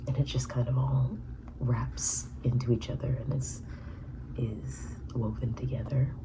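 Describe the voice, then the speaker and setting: A woman in her thirties whispering a personal vlog, halting and reflective. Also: a bass voice, steady tone, very noisy. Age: 30s. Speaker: vlogger.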